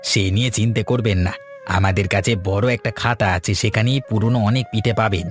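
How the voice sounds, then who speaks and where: A man's voice: lowish voice, steady tone, brisk, lightly acted. A man voicing a genial village grandfather in a family cartoon, speaking in a hearty, folksy way. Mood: welcoming, proud. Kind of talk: Bengali cartoon family story dubbing. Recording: music behind the voice.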